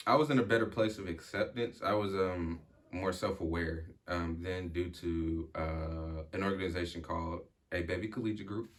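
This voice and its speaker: A man's voice: deep voice, steady tone, moderate pace. A young man talking reflectively in casual chat as a podcast guest, with many fillers, restarts and an occasional stutter.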